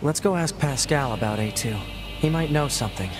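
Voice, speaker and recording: A man's voice: mid-range voice, fairly quick, natural voice. A man voicing a curious, earnest, youthful military android in a video game. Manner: earnest, inquisitive. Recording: music behind.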